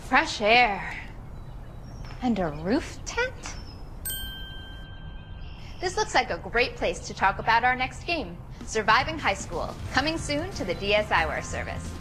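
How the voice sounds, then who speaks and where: Woman presenter, scripted promo delivery, high pitch, lively intonation, clear background music. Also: slow, long pauses. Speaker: video show presenter.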